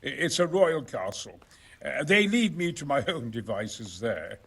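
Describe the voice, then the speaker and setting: An actor playing a genial, self-important exiled engineer in a video game, talking in a wry, hesitant, gentlemanly way. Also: male voice, medium pitch, big pitch swings, unhurried, vocal fry, slightly rough, exaggerated voice. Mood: wry, explanatory. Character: genial, erudite exiled male engineer, self-important about his opus. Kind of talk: video game cutscene acting.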